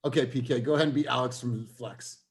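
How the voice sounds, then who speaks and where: A man's voice: mid-range voice, steady tone, quick pace, a little hiss or hum. A man with a mid-range voice leads a work training session. He coaches in casual, buddy-like language, speaking plainly and informally as he directs the group. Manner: informal, says "man" and "bro". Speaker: team leader running a sales training meeting.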